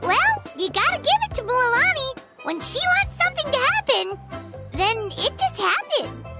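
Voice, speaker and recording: Very high squeaky voice, tiny chirpy fairy sidekick, childlike, dramatic, swooping intonation, video game. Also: female voice, sing-song, moderate pace, over music, exaggerated voice. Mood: cheeky. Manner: exclamatory, dramatic.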